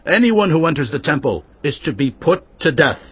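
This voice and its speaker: A stern, commanding priest in an audio drama, handing out orders with firm authority. His voice sits fairly high for a man and comes through a little dull. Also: big pitch swings, moderate pace, breathy edge. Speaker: voice actor. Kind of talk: dramatized audio Bible acting.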